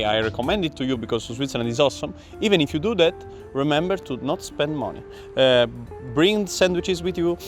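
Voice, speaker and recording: A young man from Italy with an Italian accent, an exchange student giving blunt money-saving advice in an interview, with frequent restarts. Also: medium pitch. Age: young adult. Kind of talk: interview answers.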